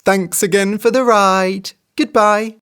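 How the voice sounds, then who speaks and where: A storyteller voicing a wily female hare who sweet-talks and tricks others, in a raised, lively voice. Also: medium pitch, slow, smooth, voice pushed up. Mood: urgent, cheeky. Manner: sweet-talking, sly, bright and loud.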